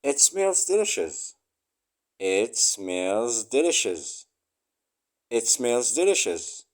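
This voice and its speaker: A man reading short English phrases aloud for learners, saying each one three times slowly and clearly. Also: medium pitch, big pitch swings.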